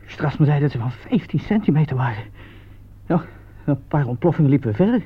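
An actor playing a naive, shaken young soldier at the front in a radio play, speaking haltingly and in distress. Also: male voice, on the high side, animated, slightly rough. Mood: agitated recounting. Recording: dull lo-fi old radio recording.

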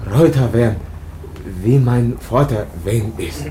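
Man playing a very slow, childlike foundling youth. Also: mid-range voice, warm tone, a little hiss or hum, lightly acted. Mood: naive, excited. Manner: halting broken speech, naming himself in third person. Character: childlike, timid foundling youth of about seventeen. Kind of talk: German radio drama acting.

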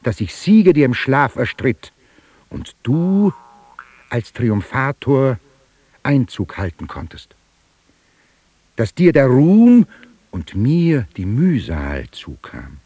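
Husky, mercurial djinn, mocking and boastful. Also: male voice, mid-range voice, huge pitch swings, takes it slowly, long pauses, dark tone, dry recording, heavily acted. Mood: solemn, insistent. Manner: theatrical, mocking declamation. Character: boastful, taunting djinn, a conjured airy spirit. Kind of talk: literary radio drama acting.

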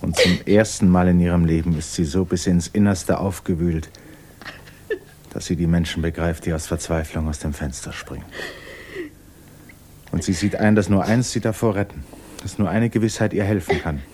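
A man's voice: low voice, fairly even intonation, moderate pace, mellow, a little hiss or hum, lightly acted. An actor as the narrator of a 1950s literary radio drama, following a troubled woman's thoughts. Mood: intense. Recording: 1950s radio recording, music behind.

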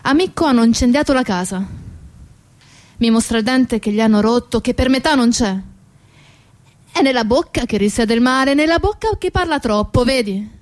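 A woman performing a theatrical first-person monologue in short, deliberate phrases, addressing the listener directly. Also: fairly high pitch, expressive. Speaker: stage actress and narrator.

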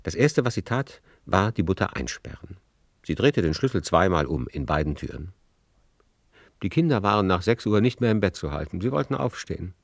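A man with a deep voice narrates a radio play as a literary storyteller. His delivery is measured and lyrical, recorded close and dry with no room sound. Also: very animated, dry recording.

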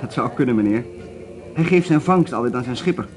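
A man's voice: medium pitch, animated, a little fast, darkish tone, soft background music, lightly acted. Polite, gossipy hotel man acted by a man. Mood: discreet gossip. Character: deferential, gossipy male hotel employee. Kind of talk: Dutch radio drama acting.

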